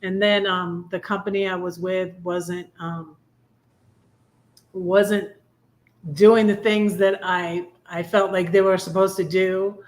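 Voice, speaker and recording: A woman hosting a casual live chat, telling personal stories in a halting, rambling way. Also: on the low side, level tone. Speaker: live stream host.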